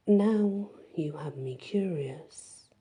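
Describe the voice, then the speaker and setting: Woman playing a cocky, husky young male spellcaster. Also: lowish voice, drawn-out words, warm tone, heavily acted. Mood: cocky, teasing. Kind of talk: fantasy audiobook dialogue.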